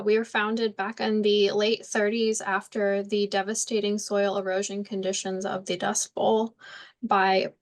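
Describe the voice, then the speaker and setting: A woman who manages a county conservation district, giving a presentation over a video call. Her voice sits at a mid pitch, and she speaks in a conversational way with frequent ums. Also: fairly bright tone. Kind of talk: presentation to an online audience.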